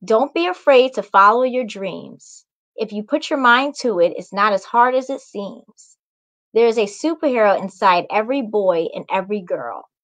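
A woman's voice: mid-range voice, animated, moderate pace, a bit bright, dry recording, dull audio. A young woman, a children's author, reading and speaking to children in an encouraging way. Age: young adult. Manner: encouraging, addresses children directly. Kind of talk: storybook reading and talk to children. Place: small indoor room at home. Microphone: video call microphone.

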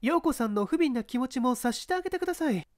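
A woman plays an earnest young actress in an audio drama. Her high, very expressive voice pleads in polite phrases. The recording is close and dry. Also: fast, no room sound. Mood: earnest pleading.

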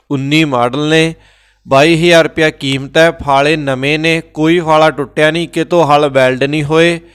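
A man with a Punjabi accent hosting a farm-machinery sales channel, briskly reading listings and repeating prices and phone numbers. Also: on the high side, fairly bright tone, clean tone. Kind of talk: classified sales rundown voice-over. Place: voice-over, not recorded at the pictured sites.

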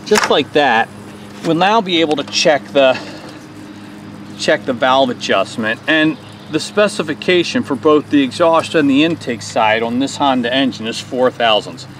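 A man's voice: medium pitch, normal speed, fairly bright tone, slight background noise. A man in his forties hosting a hands-on small engine repair tutorial outdoors on a lawn, explaining each step in a plain, folksy way.

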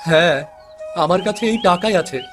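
A man's voice: sits high, moderate pace, a bit bright, faint music behind. A man playing a simple-minded village husband in a cartoon folktale, childlike, earnest and whiny. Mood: naive, sad. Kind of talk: fairy-tale cartoon acting.

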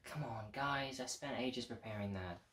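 A man's voice: medium pitch, moderate pace, pushed and bright, echoey room, lightly acted. A young man acting a self-important, melodramatic guy in an amateur comedy sketch, with a pompous, theatrical delivery. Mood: deflated. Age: young adult. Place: house porch and living room. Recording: noisy amateur camera sound.

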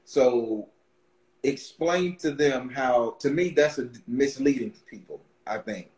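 A man's voice: mid-range voice, takes it slowly, reverberant, low-quality recording. A man in his thirties hosting a casual video-call interview, talking in a rambling way with many restarts. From Barbados. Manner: rambling, repeats words, excited restarts. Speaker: podcast host, tarot reader. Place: room with brick wall backdrop. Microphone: webcam on video call.

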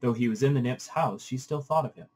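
A man with a mid-pitched, somewhat husky voice reads epic poetry aloud. His delivery is that of a literary narrator, expressive and formal in its phrasing. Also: brisk. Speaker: audiobook reader.

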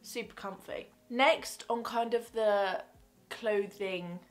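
A woman's voice: medium pitch, fairly even intonation, slow pace, faint music behind. A young woman in her twenties chatting casually to camera in a gift-guide vlog, bubbly and rambling, often restarting her sentences, recorded in a small bedroom at desk distance from the camera. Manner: bubbly, rambling, self-correcting. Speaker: fitness vlogger. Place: small bedroom with fairy-light backdrop.

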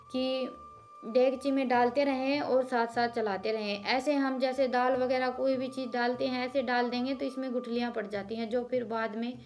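A woman's voice: sits high, a little mellow. Chatty village woman explaining her cooking. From Haryana, India. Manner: homely, chatty, explanatory, addresses viewers with "ji". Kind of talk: casual voice-over explaining cooking and chores.

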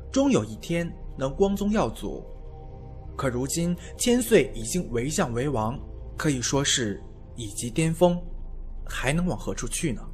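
A frank counsellor to an ancient Chinese prince, played by a man. His voice is slightly high and moves animatedly as he persuades with deferential, literary phrasing. Soft music sits under this period audio drama. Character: earnest, frank male adviser to a prince.

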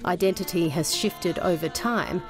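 A woman reporter from Western Australia narrating a news documentary in a measured way. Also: fairly low pitch, low-quality recording. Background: soft music.

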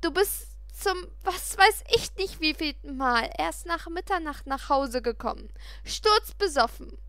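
An eager, fretful mother of a teenager in an audiobook voiced by a woman narrator. Her voice is high and bright, with a dry, close sound. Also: measured pace, no echo, heavily acted. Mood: reproachful. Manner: excited, placating, then reproachful.

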